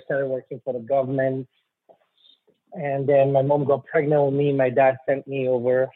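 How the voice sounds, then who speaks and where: A man in his forties from Nigeria, a trial attorney telling his life story in a halting way, with many ums. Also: medium pitch, dry recording. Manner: halting, with frequent ums and restarts. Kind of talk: conversational storytelling. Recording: husky voice on a tinny phone-like line.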